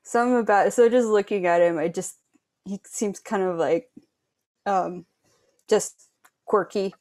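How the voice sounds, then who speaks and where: A woman's voice: mid-range voice, unhurried, darkish tone. A woman taking part in a workshop, chatting casually and tentatively, peppering her talk with slangy filler. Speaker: workshop participant. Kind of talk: casual chat.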